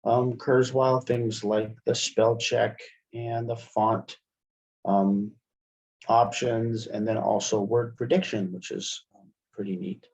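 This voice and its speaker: A middle-aged man presenting a software tutorial webinar in a halting, explanatory way, recorded on a headset microphone. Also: fairly low pitch, steady tone, vocal fry. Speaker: webinar presenter, college media specialist. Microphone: headset microphone on video call. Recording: dull, lo-fi webinar headset audio.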